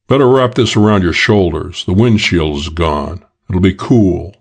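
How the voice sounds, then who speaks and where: A man's voice: low voice, measured pace, husky, heavily acted. A man voicing a stern, curt middle-aged detective in a crime audiobook, blunt and commanding.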